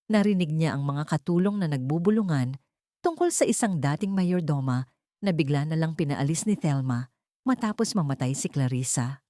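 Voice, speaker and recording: A woman's voice: sits low, a little mellow, no echo, studio quality. A woman reading a dramatic scripted story as its narrator.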